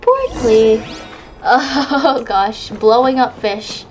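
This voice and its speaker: A woman with a high voice chats casually through a video game for her viewers. She takes her time and reacts in a gushing, cutesy way, with clear music behind her. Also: expressive, drawn-out words, low-quality recording. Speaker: gaming video creator. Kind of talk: casual gameplay commentary.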